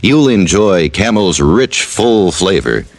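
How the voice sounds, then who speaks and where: A man's voice: sits low, expressive, slow. An American man reading a scripted 1940s radio commercial in an authoritative, salesmanlike way. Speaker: advertising announcer.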